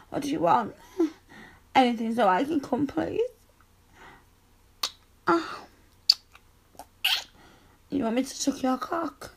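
A woman playing a submissive, pleading female lover in an erotic audio roleplay, with breathy, moaning delivery. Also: high voice, heavily acted. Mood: submissive, sultry.

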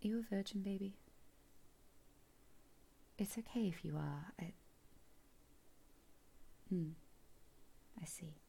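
A woman voicing a teasing, commanding middle-aged mother in a solo audio roleplay. She speaks softly and coaxingly, often in a whisper, close to the mic. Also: mid-range voice, slow, gravelly, long pauses, husky, exaggerated voice.

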